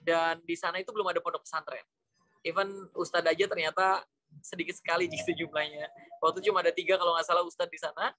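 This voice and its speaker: Fast, bright-voiced man telling his story. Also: on the high side, level tone, dry recording, low-quality recording. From Cirebon, West Java, Indonesia. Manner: informal, mixes in English words. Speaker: interview guest, Islamic school educator. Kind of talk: conversational personal storytelling.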